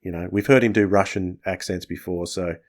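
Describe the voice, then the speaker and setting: A man's voice: sits low, steady tone, fairly quick. A man hosting a podcast, chatting casually as he reviews. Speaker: podcast host.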